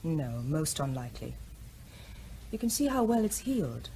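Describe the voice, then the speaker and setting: An assured female pathologist in a radio play. Her voice is on the low side, measured and precise. There is faint ambience behind her. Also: big pitch swings, gravelly, mellow, slight background noise, full character voice, rough recording. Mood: clinical, assured. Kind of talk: radio drama acting.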